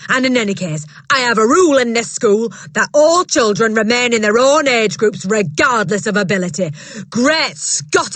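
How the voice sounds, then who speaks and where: A woman voicing a huge, scornful headmistress who barks and shouts at a teacher. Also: medium pitch, dry recording, voice pushed up, natural voice. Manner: barking, shouting, contemptuous. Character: bullying, booming female headmistress, huge and scornful. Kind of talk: audiobook character voice.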